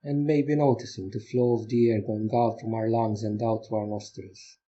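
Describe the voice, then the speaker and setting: Male meditation guide, mellow and husky, gentle instructional delivery with pauses, lo-fi muffled sound. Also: on the low side, flat delivery. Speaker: meditation teacher.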